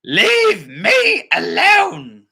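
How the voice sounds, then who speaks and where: A man plays a grumpy old storybook grandma at the end of her patience. The voice leaps far up high and bellows, stretching words out in sharp, forceful exasperation. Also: wildly swooping pitch, gravelly, heavily acted. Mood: furious shout. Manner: exasperated, drawn-out shouting. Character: grumpy old grandmother shouting to be left alone.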